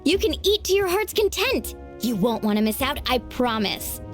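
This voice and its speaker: A woman's voice: sits high, sing-song, moderate pace, music in the background. A woman voicing an upbeat, impulsive teenage adventurer girl in an anime-style video game, breezy and enthusiastic. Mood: excited, breezy.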